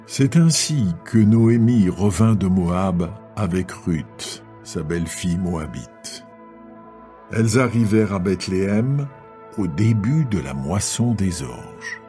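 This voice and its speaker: A man narrates scripture with a lively rise and fall, taking his time. The voice is warm and dark, over faint music. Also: low-pitched, takes it slowly.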